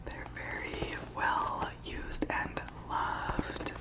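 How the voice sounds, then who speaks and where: A woman whispering softly in an ASMR style, breathy and unvoiced, with gentle, deliberate delivery and long pauses. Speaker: ASMR channel host. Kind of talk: soft whispered ASMR, chatting and reading aloud.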